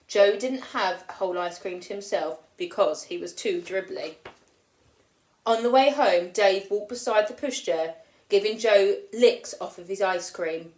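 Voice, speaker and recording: A woman teacher reading a children's picture book aloud with expressive storytelling, lifting her voice for the children's lines. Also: mid-range voice. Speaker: primary school teacher. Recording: roomy echo.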